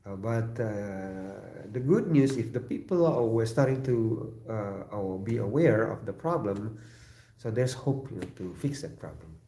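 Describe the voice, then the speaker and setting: Indonesian guy on a livestream, low gravelly voice, lots of uh and throat clearing, echoey room. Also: animated, warm tone. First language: an Indonesian regional language. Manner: halting, many fillers and throat clearing, laughs often. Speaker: livestream host. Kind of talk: casual chat with live chat viewers.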